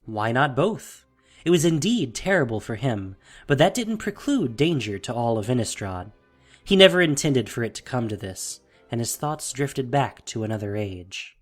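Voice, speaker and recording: Expressive male fantasy story narrator. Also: medium pitch, normal speed, faint music behind.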